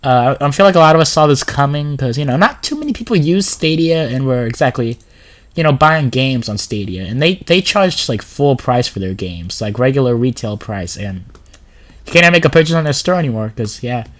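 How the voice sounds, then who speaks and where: A man's voice: medium pitch, lively intonation, brisk. A man with a sore throat and a runny nose giving casual gaming commentary over a screen recording, reading a blog post aloud and rambling with fillers and restarts.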